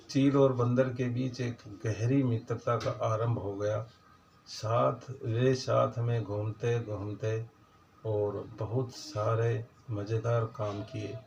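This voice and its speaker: A man telling children's moral stories in an informal narration, with halting, broken phrasing and pauses. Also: on the low side, a little mellow. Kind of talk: informal storytelling narration in Hindi. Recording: dull lo-fi sound with faint noise.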